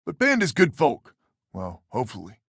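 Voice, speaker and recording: A man's voice: medium pitch, huge pitch swings, moderate pace, gravelly, fairly bright tone, husky, no echo, studio quality, exaggerated voice. A man voicing a weary, stubborn space settler leader in a video game, plain-spoken and sardonic with a folksy manner. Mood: wry. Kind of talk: video game character dialogue.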